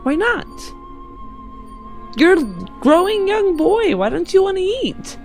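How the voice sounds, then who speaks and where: A woman's voice: extremely high pitch, sing-song, takes it slowly, darkish tone, faint music behind, voice pushed up, heavily acted. A woman voicing a doting, easily wounded genteel mother in a tabletop game, coaxing and theatrical.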